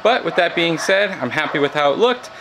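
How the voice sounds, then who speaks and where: A man's voice: on the high side, animated, fairly quick, creaky, background noise. A man talking casually through a build as a vlog host, laid-back and matter-of-fact. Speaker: host of a DIY fabrication channel.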